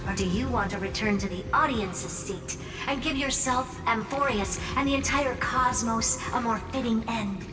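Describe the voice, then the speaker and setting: A woman's voice: fairly high pitch, moderate pace, lightly acted. Echoing, imperious woman issuing ultimatums actress performing. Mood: commanding. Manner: haughty, commanding, articulate. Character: imperious, threatening female judge coolly issuing ultimatums. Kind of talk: theatrical video game dialogue. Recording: echoey, distant reverb with music. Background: music.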